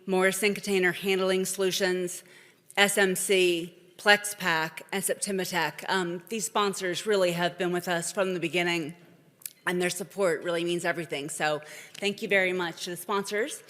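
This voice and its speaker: A woman giving welcome remarks as an event host, speaking in a halting way to a live audience. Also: lowish voice, fairly bright tone. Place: large indoor event hall, on stage. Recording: echoey hall with long reverb.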